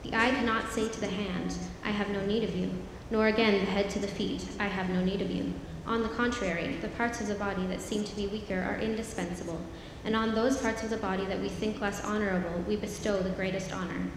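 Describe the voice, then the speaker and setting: A woman's voice: medium pitch, noisy background. Woman reading scripture in a very echoey hall. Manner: steady, measured reading aloud. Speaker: scripture reader. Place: church sanctuary. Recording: very echoey church hall, heard from afar.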